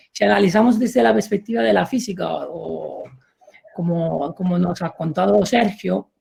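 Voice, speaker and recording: A man's voice: sits high, creaky, dark tone, breathy edge. A middle-aged man from Italy, speaking with an Italian accent as a panel expert, careful and hesitant, with many restarts. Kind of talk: panel discussion.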